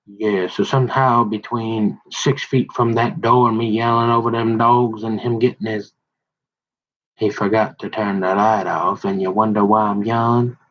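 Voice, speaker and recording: A man's voice: on the low side, little pitch movement, normal speed, a bit bright. A man in a rambling, casual monologue, talking straight to his viewers and restarting his sentences often. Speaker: vlogger. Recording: dull lo-fi audio.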